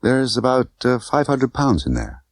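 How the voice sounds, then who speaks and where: A man's voice: medium pitch, sing-song, moderate pace, no room sound, clean studio sound, pitched above the performer's own voice, lightly acted. A man voicing a tough, condescending young woman smuggler who talks in clipped, bossy slang. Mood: brisk, commanding.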